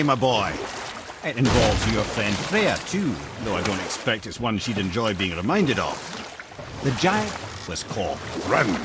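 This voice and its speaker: An actor playing a wise, wry old talking head with a Scottish accent, telling tales theatrically in a video game. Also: male voice, medium pitch. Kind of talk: dramatic storytelling in a video game. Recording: game audio with clear water and boat noise.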